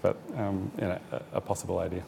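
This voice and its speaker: A man's voice: low-pitched, flat delivery, quick pace, vocal fry, mellow, a little hiss or hum. A man with a New Zealand accent answering on a panel, hesitant with many fillers and restarts.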